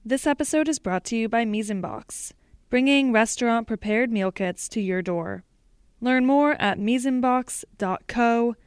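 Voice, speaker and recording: A woman reading a sponsor message slowly and clearly. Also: on the high side, dry recording, studio quality. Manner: slow, clearly spelled out ad delivery. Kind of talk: sponsor read.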